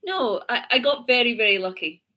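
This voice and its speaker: A thirty-year-old woman with a mild Scottish accent, hosting a casual chat, talkative and quick to correct herself. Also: on the high side, big pitch swings, muffled recording. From Scottish-English border. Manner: chatty, laughs often, self-correcting. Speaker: host.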